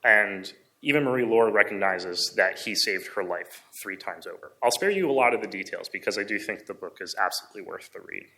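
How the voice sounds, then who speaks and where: Bright-voiced man giving an earnest talk. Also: on the low side, fairly quick, hardly any pauses. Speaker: conference speaker, amateur radio hobbyist. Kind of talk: public presentation.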